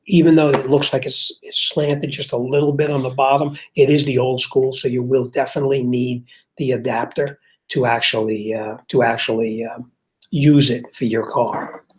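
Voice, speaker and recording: Middle-aged New Jersey mechanic, husky voice, loose chatty livestream host. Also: male voice, mid-range voice, little pitch movement, moderate pace, darkish tone. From Jersey City, New Jersey. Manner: conversational, reads chat comments aloud, frequent fillers and restarts. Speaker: host and auto mechanic answering viewer questions. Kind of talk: casual livestream chat.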